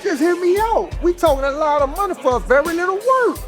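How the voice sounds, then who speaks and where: An eager young hustler in an audio drama, with a strikingly high male voice. He talks in slangy, scheming patter over light music. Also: lively intonation, brisk. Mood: defensive.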